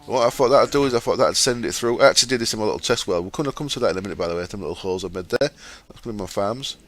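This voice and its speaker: A man with a fairly low voice and a sore, croaky throat, talking through his game. He chats casually as he explains each step, with plenty of ums and asides. He speaks into a desktop mic as he records his screen. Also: lively intonation, racing, fairly bright tone. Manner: chatty, explains step by step with fillers. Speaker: gaming channel host.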